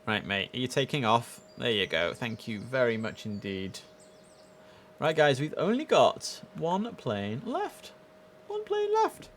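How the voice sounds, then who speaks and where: A British man hosts a casual game stream in an average-pitched voice. He talks informally and addresses his audience directly as he comments on the play. A faint bed of noise and ambience sits behind him. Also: wildly swooping pitch, a little slow, fairly bright tone. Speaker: livestream host and gamer. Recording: clear background noise and ambience.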